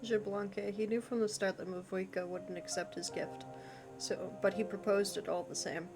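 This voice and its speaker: Reflective mid-pitched fantasy woman voiced by an actress. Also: fairly even intonation, normal speed, lightly acted. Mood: thoughtful. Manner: reflective, explanatory. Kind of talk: dramatic video game voice acting. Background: game music.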